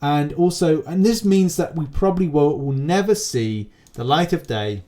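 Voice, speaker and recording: A man's voice: a little high, expressive, moderate pace, mellow. A man hosting a gaming channel, giving casual, unscripted news commentary in a rambling, repetitive, informal way.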